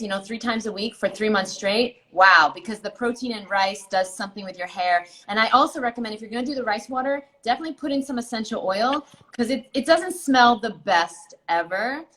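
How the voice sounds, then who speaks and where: A woman's voice: a little high, fairly bright tone. A woman guest in a casual interview, talking enthusiastically and casually about beauty tips. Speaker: guest, beauty ebook author.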